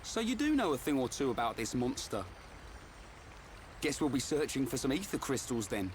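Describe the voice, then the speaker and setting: A man's voice: medium pitch, sing-song, normal speed, a little hiss or hum, lightly acted. A man playing a brash, teasing and blunt young fighter in a video game. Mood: skeptical. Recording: music behind the voice.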